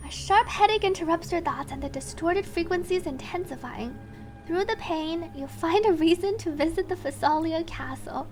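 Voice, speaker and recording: A woman's voice: very high voice, darkish tone. A woman game streamer chatting casually and reading on-screen text aloud in a chatty, giggly way. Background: game music.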